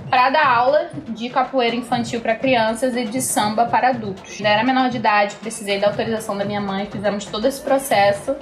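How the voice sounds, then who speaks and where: A young woman, telling her own story conversationally in an interview. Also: fairly high pitch. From Brazil, Rio de Janeiro. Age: young adult. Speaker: capoeira practitioner interviewee.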